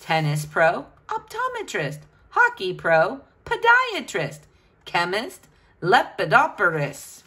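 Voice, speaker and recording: A woman's voice: mid-range voice, huge pitch swings, takes it slowly, sharp tone, breathy edge. A woman reading a picture book aloud to children, with a sing-song, questioning delivery.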